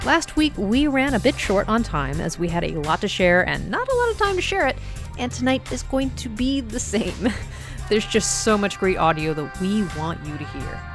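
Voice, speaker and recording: A woman's voice: mid-range voice, very animated, moderate pace. A woman hosting an audio drama podcast, giving a cheerful, upbeat introduction. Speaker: host of audio drama showcase. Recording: dry studio voice over soft music.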